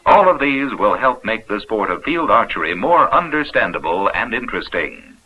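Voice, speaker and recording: A man's voice: lowish voice, very animated, moderate pace. An American man reading scripted narration for a 1970s instructional film in a folksy, upbeat, explanatory manner. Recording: dull, noisy vintage 16mm film soundtrack.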